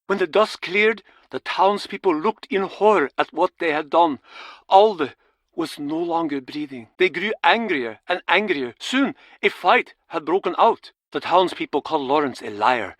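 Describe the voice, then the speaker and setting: A solemn male storyteller from an adventure game, recounts an old legend in measured, dramatic phrases. His voice sits somewhat high for a man. The recording is dry and close. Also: expressive, fairly bright tone, dry recording.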